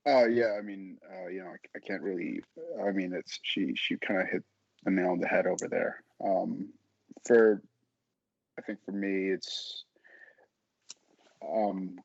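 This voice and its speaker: A young man talking candidly as a podcast guest, halting as he searches for his words. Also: deep voice, fairly even intonation, creaky, dry recording. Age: young adult. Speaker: podcast guest, audio drama creator. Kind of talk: candid casual conversation. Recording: dull, dry lo-fi sound.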